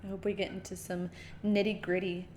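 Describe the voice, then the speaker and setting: A woman's voice: fairly low pitch, level tone, brisk, darkish tone, a little hiss or hum. A woman streamer giving casual, chatty game commentary with joking, wry asides. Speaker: gaming streamer. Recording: game music behind voice.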